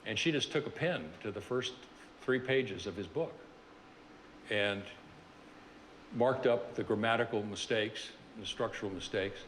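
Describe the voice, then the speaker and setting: Older male seminar speaker, low-pitched, halting delivery, large reverberant room. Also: fairly bright tone, slight background noise. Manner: halting, many fillers and restarts. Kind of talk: reflective lecture on his career.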